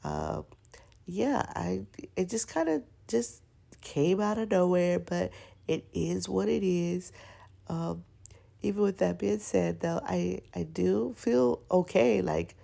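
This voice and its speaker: A young woman talks unhurriedly in a lowish, gravelly voice, stuffed up and congested. She rambles casually with plenty of fillers, like a chatty planner vlogger. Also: big pitch swings, slow pace, mellow. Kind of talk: casual voice-over chat.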